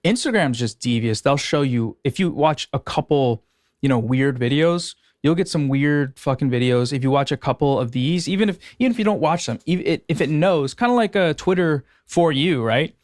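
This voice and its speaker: A man talking as a guest on a podcast in relaxed, casual chat, stretching out some of his phrases. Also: fairly high pitch, normal speed, no echo, clean studio sound.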